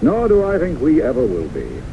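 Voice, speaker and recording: An actor playing an earnest, smitten gentleman psychiatrist in an old-time radio drama, with theatrical, flowery delivery. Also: a little high, wildly swooping pitch, normal speed. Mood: earnest, wistful. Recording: muffled, noisy 1970s radio recording.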